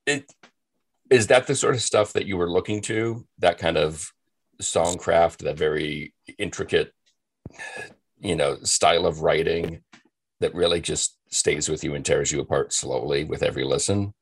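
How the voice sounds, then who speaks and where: A man with a very deep, husky voice hosts a relaxed-format interview. He phrases things in a measured, deliberate way, in an everyday conversational register. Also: low-quality recording. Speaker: interviewer, show host.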